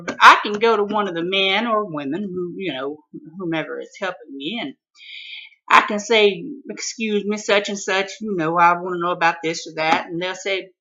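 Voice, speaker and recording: A high-pitched man with a Mississippi Southern accent spins a comic story, his voice bright and swooping up and down in lively arcs. He talks in a folksy, exclaiming way. The audio is dull and lo-fi. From Mississippi, USA. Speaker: vlogger.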